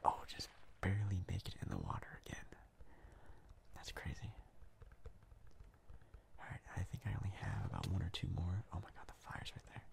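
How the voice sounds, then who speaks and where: A man giving hushed, casual, halting ASMR gameplay commentary in a breathy, mostly whispered voice as a video host. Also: deep voice, huge pitch swings.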